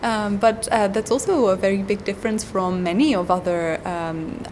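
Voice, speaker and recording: A woman guest on an academic interview, explaining at length and pausing often with ums and uhs. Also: mid-range voice, a little mellow, slight background noise. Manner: hesitant, many ums and uhs. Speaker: guest scholar.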